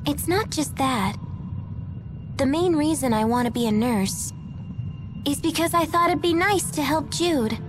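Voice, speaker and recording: Game casting: perky teen girl, high-pitched, earnest but unsure of herself, music underneath. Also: a little slow, long pauses. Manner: expressive, plain-spoken, girlish. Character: perky, earnest, self-doubting teenage girl. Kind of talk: English video game voice acting.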